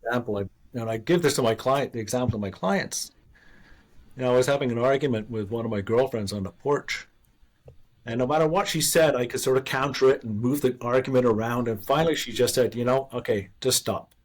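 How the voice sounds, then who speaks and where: A man's voice: medium pitch, fairly quick. Candid older man telling his story. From Canada. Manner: candid, earnest, restarts and self-deprecating laughs. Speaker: guest, former investor and author. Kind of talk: reflective storytelling in an interview.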